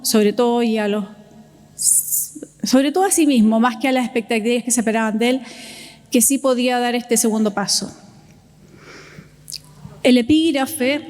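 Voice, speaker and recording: Female event presenter, slightly high pitch, introduction with fillers, long hall reverb. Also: a little mellow. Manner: reads with frequent fillers and small restarts. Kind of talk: introducing a speaker at a festival.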